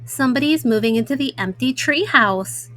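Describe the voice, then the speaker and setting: A six-year-old girl bear from a children's storybook, as a woman reader puts her on. She is high-pitched, assertive and eager in a childlike way. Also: animated, moderate pace, fairly bright tone. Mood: curious. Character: bossy, eager little sister bear cub, six.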